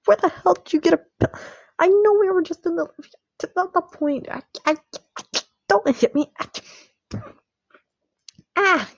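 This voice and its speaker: A woman's voice: very high voice, measured pace, vocal fry, pauses often, dry recording, muffled recording, exaggerated voice. A woman playing a sheepish young boyfriend in a roleplay audio drama, stammering and pleading apologetically. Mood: panicked pleading.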